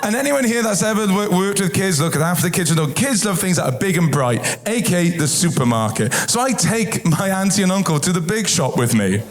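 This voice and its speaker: A man doing a stand-up comedy routine on a theatre stage, energetic and shouting his punchlines. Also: high-pitched, fairly quick, few pauses. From Wales. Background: loud audience laughter.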